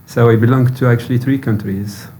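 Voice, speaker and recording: A man from Morocco, a cafe owner being interviewed, speaking slowly and deliberately and pausing with fillers as he searches for words. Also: mid-range voice, fairly even intonation, dark tone, a little hiss or hum. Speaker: cafe owner, podcast guest. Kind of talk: conversational interview.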